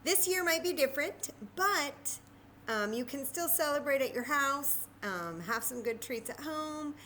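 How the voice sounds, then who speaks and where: Animated, high-voiced woman reading to children. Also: a little slow, slight background noise. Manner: expressive, chatty, with exaggerated wonder and questions to children. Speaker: children's librarian storyteller. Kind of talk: read-aloud story time for young children.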